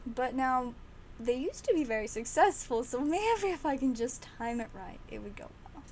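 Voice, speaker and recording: A woman hosting a card-opening livestream, chatting casually and excitedly, her voice slightly raspy and strained. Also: high-pitched, normal speed, slight background noise. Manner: chatty and excitable, with frequent laughs. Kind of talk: casual livestream chat while opening packs.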